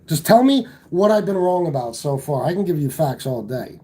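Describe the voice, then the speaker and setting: Combative, foul-mouthed man with a mellow voice. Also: fairly high pitch, sing-song, normal speed, a little hiss or hum. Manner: combative, profane, sarcastic. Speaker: livestream host reading chat. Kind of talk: casual live-stream chat.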